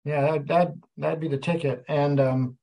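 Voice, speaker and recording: A man's voice: on the high side, fairly even intonation, normal speed, gravelly, dark tone, low-quality recording. A man co-hosting a podcast, telling a travel story casually and leaning heavily on "like" and "you know.". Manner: loose, heavy on "like" and "you know". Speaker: podcast co-host. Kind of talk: casual chat.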